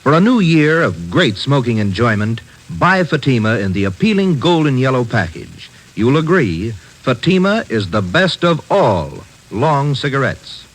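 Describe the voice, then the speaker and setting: A man reading a 1950s radio advertisement as an announcer, with a smooth, emphatic sales pitch. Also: very animated, unhurried, hardly any pauses. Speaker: commercial announcer.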